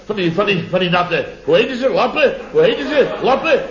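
Middle-aged male contractor, higher-pitched, blustering, boastful, repetitive, indignant, 1970s radio comedy, muffled old recording. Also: big pitch swings, fairly quick, a bit bright. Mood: exasperated. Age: 50s. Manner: repeats himself, exclaims, self-important. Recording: dull vintage radio recording.

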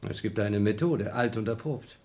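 An actor playing a cynical, restless astronaut in a radio play, talking with dry, needling irony. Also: male voice, fairly low pitch, normal speed, mellow, lightly acted. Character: cynical, restless male astronaut trapped in a station.

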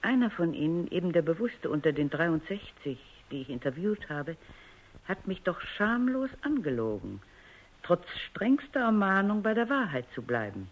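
A dull-sounding radio drama recording of an exacting woman robot psychologist. Her pitch moves widely as she speaks with precise, insistent phrasing, sounding firm and serious. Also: sing-song, moderate pace. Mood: insistent, worried. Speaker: lead actor and first-person narrator. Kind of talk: radio drama acting and narration.

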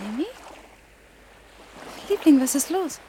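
An actress playing a tender, obsessive scientist mother in a radio play, pleading haltingly in a breathy voice. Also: high-pitched, expressive, mellow, a little hiss or hum. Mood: anxious, tender. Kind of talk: German radio play acting.

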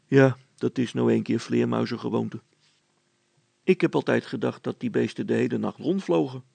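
A man voicing a practical, gruff middle-aged father in a storybook, talking colloquially with some hesitation. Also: medium pitch, moderate pace, a little mellow, no echo. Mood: calm, practical.

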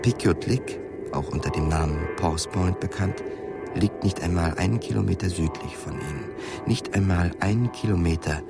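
A man narrating a literary radio drama in a measured, grave, deliberate manner. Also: low voice, creaky, over loud music.

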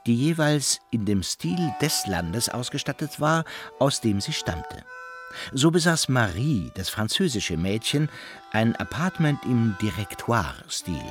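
A man narrating a satirical radio play as a wry, measured storyteller who addresses his listeners. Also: mid-range voice. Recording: music behind the voice.

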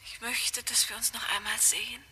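An actress voicing an eerie woman who calls from beyond the grave, speaking in a soft, coaxing, breathy whisper in a radio play. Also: a little high, little pitch movement, moderate pace, a crowd in the background, natural voice. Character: eerie whispering woman, dead first wife calling back. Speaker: mysterious caller on the phone.

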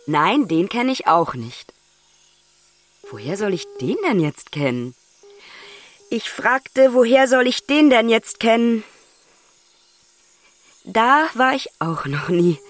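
A woman playing an exasperated grown daughter on the phone with her father in a radio play, impatient and pausing to listen. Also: mid-range voice, expressive. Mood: impatient, baffled. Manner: impatient, one-sided phone chat with pauses. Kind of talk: naturalistic radio play dialogue.

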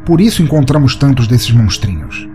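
A man's voice: mid-range voice, big pitch swings, normal speed, warm tone, faint music behind, close to an everyday voice. A Brazilian man voicing a younger, cynical, battle-worn male monster hunter in an audio short story. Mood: matter-of-fact.

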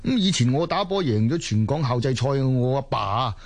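A man's voice: mid-range voice, animated, brisk, natural voice. A man playing a short-tempered middle-aged father in a Cantonese audio drama, scolding and blustering with many interjections. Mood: dismissive, insistent.

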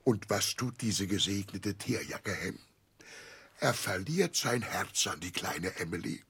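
An actor in a radio play playing a warm-hearted, simple seafaring uncle with a rough, husky voice and an emotional, halting way of telling things. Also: male voice, lowish voice, full character voice. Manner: emotional, halting, emphatic storytelling with exclamations. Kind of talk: 1960s German radio play acting.

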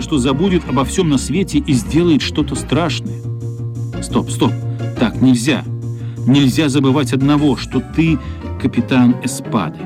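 A man giving dramatic scripted narration for a radio play. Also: fairly high pitch. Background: music.

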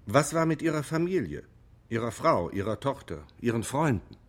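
A man's voice: mid-range voice, sing-song, moderate pace. A man playing an earnest defence lawyer in a radio drama, arguing and probing in formal, emphatic language. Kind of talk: German radio drama acting, courtroom argument.

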